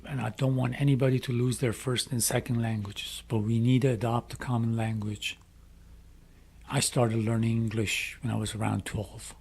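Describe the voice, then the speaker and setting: A middle-aged man from Iran with an Iranian accent, speaking English as a second language, making a slow, earnest inspirational appeal. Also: mid-range voice, flat delivery, vocal fry, darkish tone, slightly rough. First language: Farsi. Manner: slow, deliberate, emphatic phrasing. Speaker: speaker addressing young people.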